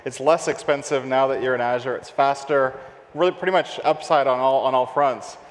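A man's voice: medium pitch, a little fast, long reverb tail. A man in his forties hosts an onstage tech interview with an upbeat, affirming manner, using a headset mic. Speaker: host. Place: large conference stage before an audience.

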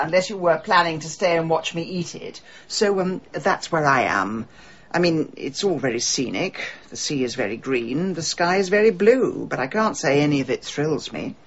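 A woman's voice: deep voice, exaggerated voice. An actress playing a brusque, grumbling middle-aged British woman abroad in a radio drama, sardonic and imperious. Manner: imperious, sardonic, crisp complaining. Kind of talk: BBC radio drama acting. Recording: dull, slightly noisy radio drama recording.

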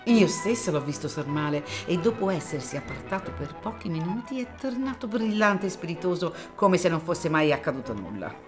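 A woman's voice: lowish voice, very animated, over music. A woman playing composed, determined older lady. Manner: polite, formal and measured. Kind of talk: amateur audio drama.

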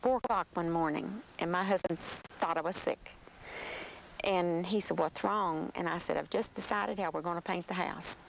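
A woman's voice: on the low side, normal speed, vocal fry, a little hiss or hum, rough recording. A middle-aged woman telling a story in an outdoor interview, chatty and amused. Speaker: interviewee, homeowner. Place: outdoors in a yard.

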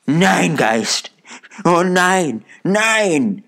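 A man's voice: big pitch swings, extremely slow, fairly bright tone, full character voice. A man voicing an old miser who pleads in terror and desperation with a raised, strained voice. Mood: desperate. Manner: desperate, high-pitched pleading.

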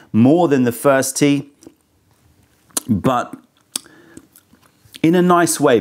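A man in his thirties hosting a casual tea tasting review, thoughtful and candid, a bit critical, speaking into a lapel mic in an indoor studio. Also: mid-range voice. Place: indoor studio set with sofa.

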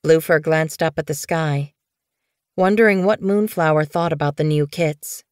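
Female audiobook narrator, slightly low pitch, measured, expressive storytelling, dry acoustic. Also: clear, resonant tone, no room sound. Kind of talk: scripted fiction narration.

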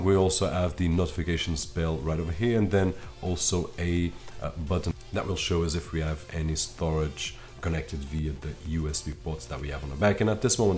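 A man's voice: low-pitched, a little mellow. A man in his thirties whose first language is Portuguese, reviewing tech gear to camera from a home office in a chatty way. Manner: chatty, talks straight to viewers with frequent fillers. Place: home office with computer desk.

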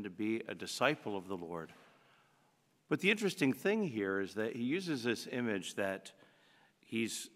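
A middle-aged priest speaks from a lectern microphone, preaching in an earnest, conversational way. His voice sits on the low side. The sound carries the long reverb of a large church. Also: fairly bright tone. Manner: earnest, conversational preaching with frequent "you know". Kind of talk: homily at Mass.

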